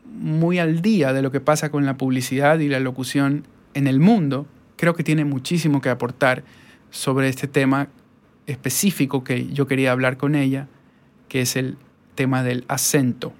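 A man's voice: medium pitch, steady tone, moderate pace. A man from Ecuador with a Guayaquil accent, hosting a casual interview podcast from his recording studio and chatting enthusiastically with fillers. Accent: Guayaquil Spanish. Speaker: podcast host and voice-over artist. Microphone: portable recorder.

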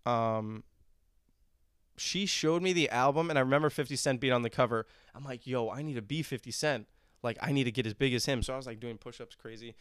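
A man's voice: medium pitch, brisk, a bit bright, clean tone, no room sound, clean studio sound. A young man, a musician guest on a podcast, who chats casually in a rambling, laid-back way and laughs often. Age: young adult.

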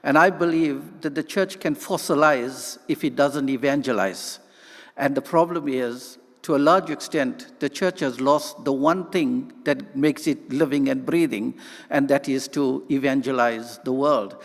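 A man's voice: medium pitch, a little slow, gravelly. A man from South Africa with a South African accent, preaching a passionate Pentecostal sermon in church, often restarting his sentences. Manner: passionate, rambling with restarts and laughs. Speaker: guest preacher. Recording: long church reverb.

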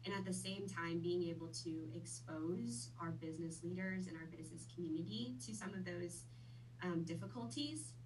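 A lowish, relaxed woman in her twenties talks through her career path in a friendly, unhurried way, full of ums. She sits a little away from the mic. A faint hum runs underneath. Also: distant mic, low-quality recording. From Vietnam. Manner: friendly, easygoing, many fillers. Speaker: podcast guest. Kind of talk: casual interview chat.

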